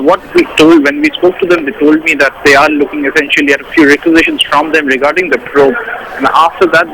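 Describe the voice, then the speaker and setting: A man with an Indian English accent giving a live news report over the phone. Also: a little high. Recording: phone report with clear background noise.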